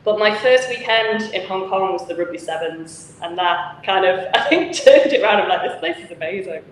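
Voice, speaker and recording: A woman's voice: mid-range voice, expressive, normal speed. A woman from Manchester, a charity founder speaking frankly and chattily as an interview guest over a video call. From Manchester, England. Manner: frank, chatty, laughs often. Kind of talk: conversational interview. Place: charity office with volunteer lockers. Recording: echoey room with faint noise.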